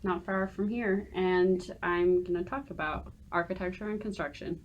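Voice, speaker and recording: A woman's voice: fairly low pitch, fairly even intonation, moderate pace, dull audio. Young woman from Idaho asking questions. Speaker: student guest. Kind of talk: podcast questions.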